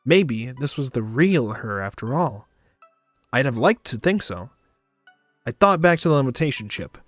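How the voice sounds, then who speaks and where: A man's voice: mid-range voice, sing-song, moderate pace, no room sound, faint music behind. A man narrating a visual novel in the first person on a stream, with casual asides.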